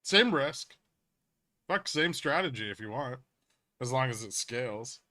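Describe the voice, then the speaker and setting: A man with a bright, forceful voice at a middle pitch talks as a livestream host. He explains in a casual, blunt and emphatic way, like an educator chatting with viewers, and swears freely. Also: expressive, a little slow. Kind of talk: casual livestream chat, answering viewer questions.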